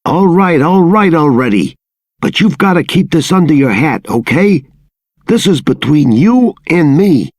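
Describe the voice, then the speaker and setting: A man's voice: fairly high pitch, big pitch swings, measured pace, dry recording, studio quality, heavily acted. A man playing a secretive townsman in a video game, confiding grim gossip in a storytelling manner. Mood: secretive, urgent. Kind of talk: video game dialogue acting.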